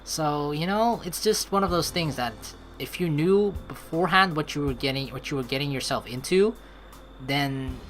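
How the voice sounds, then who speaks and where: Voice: medium pitch, a bit bright, a little hiss or hum. A let's play streamer giving casual commentary, talking in a rambling, conversational way as if thinking aloud. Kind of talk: casual gameplay commentary mixed with reading game text aloud.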